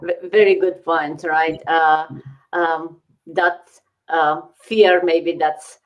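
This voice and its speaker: Low-voiced woman hosting, encouraging. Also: flat delivery, slow, muffled recording. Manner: encouraging, thinks aloud with many fillers and tag questions. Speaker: host. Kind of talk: casual business talk show.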